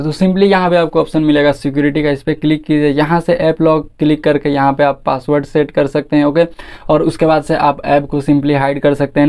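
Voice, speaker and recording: Young guy doing a casual phone walkthrough, smooth clear voice, a bit high. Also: darkish tone. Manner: informal, addresses viewers as guys and friend. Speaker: tech video host. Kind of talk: casual phone tutorial narration.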